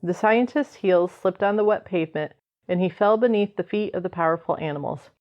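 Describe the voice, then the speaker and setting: A woman's voice: fairly low pitch, fairly quick, natural voice. A woman reading scripted dramatic narration as the narrator of an old time radio show. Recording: dull lo-fi sound.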